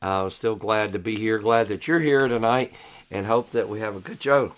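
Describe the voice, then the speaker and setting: Hoarse, low-voiced male co-host. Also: moderate pace. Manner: easygoing, relaxed, laughs often. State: hoarse, losing his voice. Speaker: podcast co-host, repair expert. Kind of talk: casual chat.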